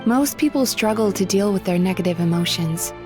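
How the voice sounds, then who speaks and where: Give me an actress as a gentle game spirit woman, soft-spoken and reflective, medium pitch, with music underneath. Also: animated, fairly quick, natural voice. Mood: wistful, reflective. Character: gentle, wistful dream-eating spirit woman who practices psychology. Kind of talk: scripted video game character dialogue.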